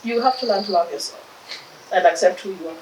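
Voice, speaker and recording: A woman's voice: mid-range voice, fast talker. Kenyan woman, distant echoey mic. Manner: animated, mixes in Swahili phrases, laughs often. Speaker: interview guest. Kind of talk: casual interview chat. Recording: distant mic, echoey room, loud insect noise.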